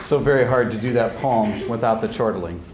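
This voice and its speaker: A man's voice: on the low side, moderate pace. A man hosting an event, making informal closing remarks to an audience. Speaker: host, speech coach. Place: hall with live audience. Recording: echoey, very noisy hall with crowd.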